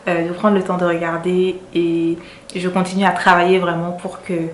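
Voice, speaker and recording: A woman's voice: fairly low pitch, level tone, normal speed, slight background noise. Echoey-voiced woman vlogger, grateful chat. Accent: French. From Cameroon. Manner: warm, grateful, repeats words for emphasis. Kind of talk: casual vlog chat.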